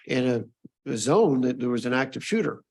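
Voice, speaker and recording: A man's voice: mid-range voice, expressive, a little fast, vocal fry, a little mellow, breathy edge. A man chairing a public meeting. He is friendly and apologetic, and stops and restarts often. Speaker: commission chair.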